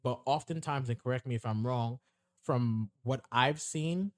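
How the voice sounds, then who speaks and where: A man's voice: mid-range voice, no echo, clean studio sound. A young man co-hosting a podcast, laying out his examples step by step in casual discussion. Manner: measured, lays out examples step by step. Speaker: podcast co-host.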